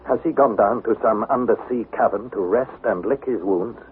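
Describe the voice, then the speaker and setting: An actor playing an earnest young explorer, narrating his expedition in measured, dramatic vintage radio style. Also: on the low side, lightly acted. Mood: wondering. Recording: tinny, hissy vintage radio broadcast.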